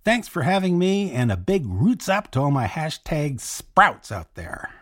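A cynical food critic and podcaster in an audio drama. His voice is husky and swings widely in pitch, with a sardonic, showy edge. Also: sing-song, measured pace, a bit bright, heavily acted. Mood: polished, enthusiastic.

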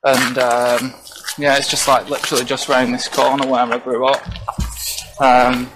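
A young man from England, a witness recounting his experience in a halting, hesitant way, outdoors on a residential street. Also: mid-range voice, little pitch movement. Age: young adult. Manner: hesitant, halting, many fillers. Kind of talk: casual first-hand recounting. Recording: noisy outdoor ambience.

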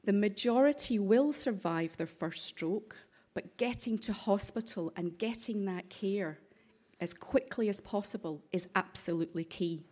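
A woman with a Scottish accent giving a formal address to members of parliament, measured, with occasional ums and restarts. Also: mid-range voice, darkish tone. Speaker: conference speaker. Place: parliament session hall.

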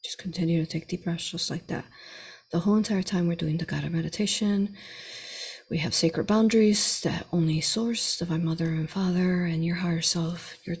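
A woman leading a spiritual guided meditation in a soothing, deliberate, instructing way, with a breathy voice. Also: on the low side, a little mellow.

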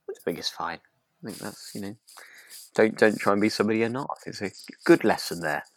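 A man with a low, gravelly voice chats as a podcast co-host. He is gentle and a little tentative, and the recording is dry and close. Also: animated, a little fast, no room sound. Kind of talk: relaxed chat about a radio drama.

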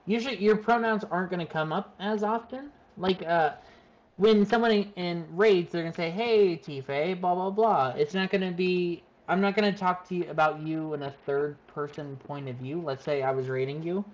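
A man with a fairly high voice for a man talks in a relaxed group chat. He hesitates often and fills his pauses with "like" and "uh.". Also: muffled recording. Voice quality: higher-pitched for a man. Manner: hesitant, filler-heavy. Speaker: streamer. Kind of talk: casual chat.

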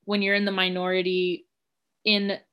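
A woman's voice: fairly low pitch, monotone, normal speed, fairly bright tone, clear, resonant tone. A young woman co-hosting a casual podcast, talking frankly and filling her speech with "like.". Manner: chatty, heavy use of "like", frank. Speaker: podcast co-host.

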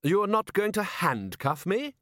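A man voicing an unruffled aristocratic ruler, polite and measured, with dry mischief and feigned innocence, in an audiobook. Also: high-pitched, very animated, a bit bright, no echo, voice pushed up, full character voice.

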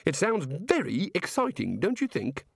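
A man's voice: on the high side, huge pitch swings, measured pace, no echo, studio quality, voice pushed up, exaggerated voice. A man voicing an innocently curious, fussy god in an audiobook, inquisitive and precise. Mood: eager, studious. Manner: clinical, inquisitive, oblivious, precise wording.

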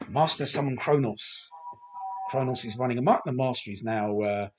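A man hosting a podcast, chatting through a TV commentary with a slightly croaky voice, rambling and hesitant with many fillers and restarts, self-deprecating. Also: mid-range voice, moderate pace, fairly bright tone, soft background music. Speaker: podcast host. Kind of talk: casual film commentary.

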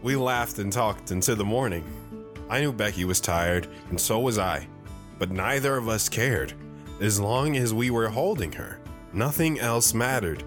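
Reflective wandering dad, low voice, earnest, sentimental audio drama storyteller, music underneath. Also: male voice, expressive, measured pace. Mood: reflective, tender. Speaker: narrator.

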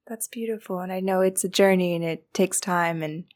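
A woman's voice: on the low side, moderate pace, darkish tone. A young woman hosting a podcast, supportive and thoughtful as she asks her guest questions. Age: young adult. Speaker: podcast host. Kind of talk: casual interview chat.

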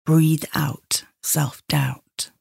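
A middle-aged woman leading a guided meditation in a soothing, measured, instructing manner. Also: deep voice, little pitch movement, vocal fry, darkish tone, breathy edge, dry recording, clean studio sound.

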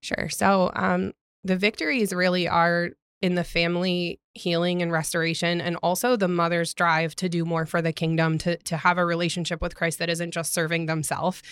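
A woman's voice: on the low side, little pitch movement, moderate pace, fairly bright tone, smooth, no room sound. A woman guest speaking earnestly in a casual church interview, with frequent ums and you-knows. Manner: earnest, many fillers like um and you know. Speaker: ministry guest.

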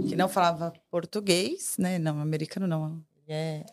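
A woman with a lowish voice tells a business story on a podcast. She speaks with lively energy and corrects herself along the way. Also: a little fast. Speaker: sales partner. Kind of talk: casual podcast chat.

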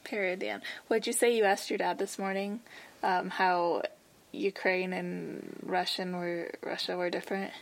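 A woman's voice: fairly low pitch, little pitch movement, measured pace, gravelly. A woman co-hosting a podcast in casual chat, upbeat and chatty as she asks questions. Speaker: podcast co-host.

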